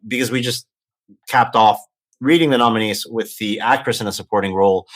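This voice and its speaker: A man with a Mexican accent co-hosting a film industry podcast, giving measured, opinionated analysis in casual chat. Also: on the low side. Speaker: podcast co-host.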